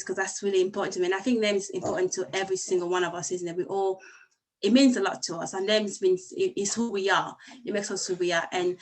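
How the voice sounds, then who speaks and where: A woman's voice: medium pitch, slight background noise, low-quality recording. A woman who grew up in Congo with Lingala as her first language, casually telling her own story on a video call, with many restarts. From Congo and Angola. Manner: warm, rambling, with many restarts. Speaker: speaker sharing her story with a group. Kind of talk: casual personal talk.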